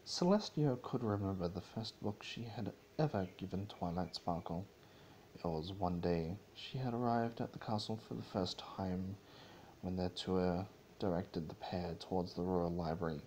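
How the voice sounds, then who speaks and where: A man's voice: deep voice, moderate pace, a little mellow, a little hiss or hum, rough recording. A man giving an amateur dramatic reading of a story, stumbling over and repeating words as he goes. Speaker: amateur reader of fan fiction, channel host.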